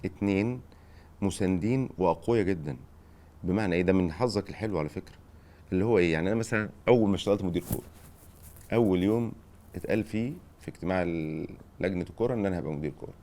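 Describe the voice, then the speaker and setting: A middle-aged Egyptian man with a lowish voice, talking very fast. He hosts a relaxed chat and hands out advice. He keeps checking in with short rhetorical questions. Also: slight background noise. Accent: Egyptian Arabic. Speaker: podcast host, former football director. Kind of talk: casual advice-giving chat.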